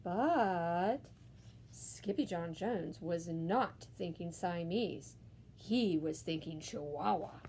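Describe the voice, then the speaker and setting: A woman reading a children's storybook aloud with expressive, theatrical, emphatic narration. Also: on the low side, takes it slowly, a little hiss or hum. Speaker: school staff member reading aloud.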